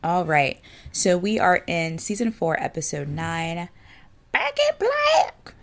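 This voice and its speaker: A woman with a low, gravelly voice co-hosts a casual podcast. She sounds tired and congested, a little stuffy and nasal. She chats in a playful, joking way. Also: huge pitch swings, slow pace. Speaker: podcast co-host. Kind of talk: casual chat.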